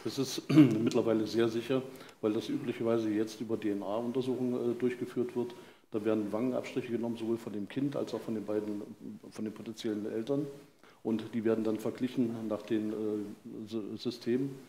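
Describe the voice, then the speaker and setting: A man's voice: fairly low pitch, steady tone, fairly quick, dark tone. An older man explaining forensic procedure as an expert guest, matter-of-fact and precise. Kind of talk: expert explanation in live talk. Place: on stage in a vaulted hall with audience. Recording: roomy live stage sound, faint noise.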